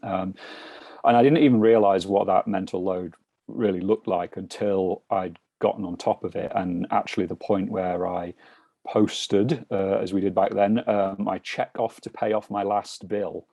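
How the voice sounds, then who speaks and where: Middle-aged British man, financial mentor, mellow dark voice, hesitant and chatty. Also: deep voice, steady tone, normal speed, vocal fry, no echo. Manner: hesitant, many ums and restarts. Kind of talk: casual chat on a video call.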